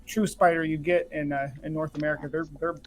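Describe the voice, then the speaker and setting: A man chatting casually as a guest, hesitant, with many pauses and fillers. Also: a little high, little pitch movement, faint music behind. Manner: hesitant, lots of uh. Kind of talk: casual chat.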